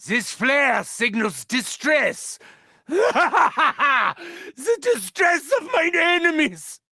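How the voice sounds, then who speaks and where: Mad german scientist game villain, bright sharp voice, slow grandiose delivery, mocking and gleefully cruel. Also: male voice, high voice, vocal fry, dry recording, clean studio sound, full character voice. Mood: manic, gleeful. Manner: theatrical, grandiose, archly formal, mocking. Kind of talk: video game character voice lines.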